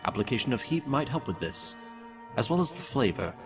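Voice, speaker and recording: Man acting a cold, clinical scientist, widely swooping pitch. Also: very animated, brisk. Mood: coolly menacing. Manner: clinical, detached, pompous, menacingly polite. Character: cold, clinical male mad scientist dictating cruel experiment notes. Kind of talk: fan fiction audio drama, dictated notes. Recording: distant voice with music behind.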